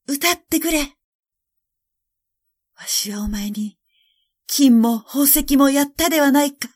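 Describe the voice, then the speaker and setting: A woman's voice: high-pitched, a little mellow, voice pushed up. A woman voicing a dying old emperor in a storybook, imperious and desperate, crying out in old-fashioned speech.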